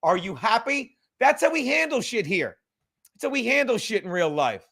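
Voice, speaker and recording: A man with a high, bright voice hosts a loose livestream hang. He rambles casually, putting himself down and swearing freely as he goes. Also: sing-song, moderate pace, gravelly, breathy edge. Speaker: livestream host. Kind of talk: casual profane livestream chat.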